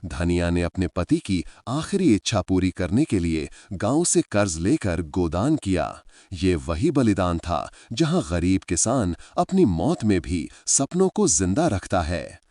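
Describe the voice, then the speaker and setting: A man's voice: low voice, big pitch swings, measured pace, darkish tone, dry recording, clean studio sound. A man telling a story with feeling, speaking to his listeners as friends. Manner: emotive, addresses listeners as friends. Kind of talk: dramatic storytelling narration.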